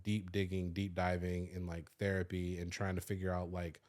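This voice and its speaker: Man, 30s, lowish voice; confessional podcast host, casual, profane, filler-heavy. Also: darkish tone. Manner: loose and confessional, swears freely, many "like" fillers. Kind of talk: candid personal storytelling.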